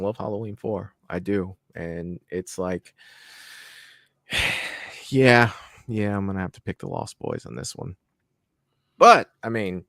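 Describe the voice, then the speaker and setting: A man's voice: on the low side, creaky, fairly bright tone, no room sound, clean studio sound. A man hosting a casual live stream, talking loosely, laughing often and swearing offhand. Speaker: host.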